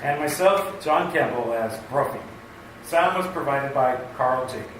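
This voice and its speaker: A man's voice: mid-range voice, expressive, measured pace. A man announcing credits in old-time radio style, recorded in a large echoey room. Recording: echoey stage, distant, loud hiss.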